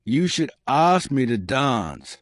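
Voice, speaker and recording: A man voicing a plain, frank young gentlewoman in an audiobook, unhurried, matter-of-fact and dryly witty. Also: mid-range voice, very animated, no room sound, clean studio sound, voice pushed up. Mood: wryly matter-of-fact. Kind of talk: audiobook character dialogue.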